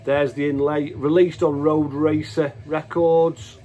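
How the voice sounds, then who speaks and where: A man's voice: a little high, little pitch movement, slow, warm tone, soft background music. A man giving casual, unscripted show-and-tell commentary as a host, in a hesitant, matter-of-fact way, often correcting himself. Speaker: host of a music collection video.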